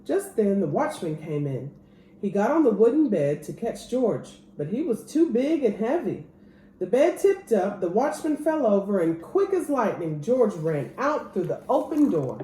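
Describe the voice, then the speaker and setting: A woman reading a children's picture book aloud as an expressive storyteller. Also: medium pitch, unhurried. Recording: roomy echo, clear background noise.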